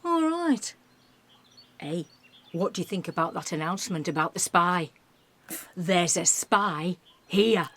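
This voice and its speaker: A woman's voice: fairly low pitch, animated, slow, long pauses, a bit bright, heavily acted. A woman playing a nosy, cheeky wartime working woman in a radio play, chatty and blunt. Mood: nosy, gossipy. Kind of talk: amateur radio play acting.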